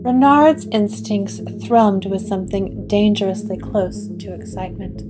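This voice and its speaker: A woman narrates a gothic audio drama at an unhurried, deliberate pace, her voice sitting in a middle register. Plucked guitar music plays clearly behind her. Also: medium pitch. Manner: slow, measured storytelling.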